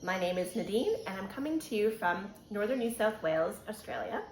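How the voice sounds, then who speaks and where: A woman's voice: mid-range voice, sing-song, fairly quick, a little mellow, a little hiss or hum. A middle-aged Canadian woman hosting a knitting podcast in a casual, chatty way, laughing often and filling gaps with ums. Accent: Canadian English. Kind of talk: casual chat. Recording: roomy echo.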